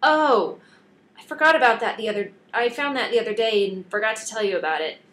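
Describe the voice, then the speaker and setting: A woman's voice: on the high side, a little fast, fairly bright tone, lightly acted. A woman reading the part of an anxious, apologetic young female mechanic's assistant in an audiobook, with a plaintive manner. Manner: plaintive, wailing.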